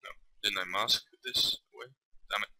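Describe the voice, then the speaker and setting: Man with a bright, sharp voice. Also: lowish voice, moderate pace, no echo, rough recording. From Belgium. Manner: hesitant, many fillers and self-corrections. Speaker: tutorial maker. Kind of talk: casual screen-recorded software tutorial. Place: at a computer desk.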